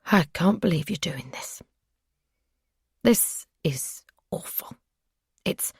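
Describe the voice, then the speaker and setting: A woman voicing an obsessive male captor who speaks in soft, breathy whispers. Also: lowish voice, lively intonation, slow pace, dry recording, studio quality, exaggerated voice. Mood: agitated, threatening.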